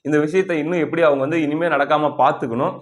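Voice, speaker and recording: A man's voice: a little high, quick pace. A man with a Tamil Nadu accent giving conversational political commentary, explaining things and posing rhetorical questions that he answers himself.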